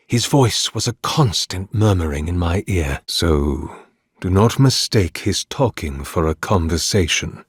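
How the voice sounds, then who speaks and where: An actor playing an ancient, weary, devout priest in a video game, speaking formally and eloquently with solemn dignity. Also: male voice, lowish voice, husky, no room sound, clean studio sound, exaggerated voice. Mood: sorrowful, resigned. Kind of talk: dramatic video game dialogue.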